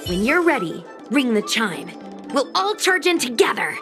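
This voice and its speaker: A woman voicing a brisk, capable young woman adventurer who takes charge in an anime-style game. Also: on the high side, very animated. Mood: focused. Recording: game music behind the voice.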